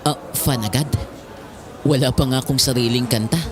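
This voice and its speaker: A man's voice: medium pitch, fairly even intonation, moderate pace, soft background music, close to an everyday voice. A young man with a Filipino accent acting an easygoing, teasing male student in a conversational audio drama. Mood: shy, modest. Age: young adult. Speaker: voice actor. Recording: clearly noisy home-style recording.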